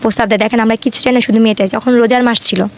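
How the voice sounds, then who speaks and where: Fast-talking young Bangladeshi woman, high voice. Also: fairly even intonation. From Dhaka, Bangladesh. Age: 20s. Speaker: guest telling her life story. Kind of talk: personal storytelling in an interview.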